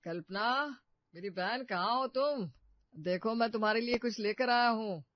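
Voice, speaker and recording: Man voicing a high-pitched, assured young cartoon schemer. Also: normal speed, no echo, lightly acted. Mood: affectionate. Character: protective, scheming young working-class brother posing as rich suitor. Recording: muffled dry studio recording.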